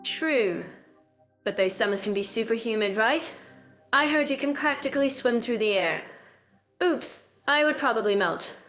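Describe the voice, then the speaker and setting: A woman's voice: on the high side, lively intonation, normal speed, fairly bright tone, faint music behind. A woman co-hosting a scripted podcast, chatting in an upbeat way with bubbly little interjections. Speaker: podcast co-host.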